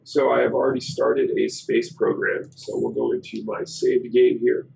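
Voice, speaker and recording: A man hosting a casual gameplay commentary, hoarse and raspy from a cold, explaining things in a rambling way with many fillers. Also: medium pitch, fairly even intonation, moderate pace, darkish tone, rough recording. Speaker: gaming channel host.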